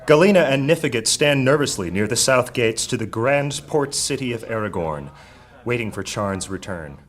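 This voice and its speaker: A man's voice: medium pitch, lively intonation, normal speed, lightly acted. A man narrating an epic fantasy audio drama as a solemn storyteller. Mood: measured.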